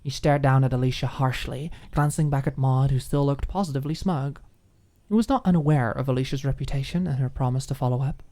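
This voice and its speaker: A woman's voice: extremely low pitch, warm tone. A woman reading scripted story narration as an audiobook narrator.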